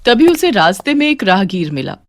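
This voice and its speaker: Expressive woman storyteller, animated pitch. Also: fairly quick, slight background noise. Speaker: narrator. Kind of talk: scripted children's story narration. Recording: faint music behind.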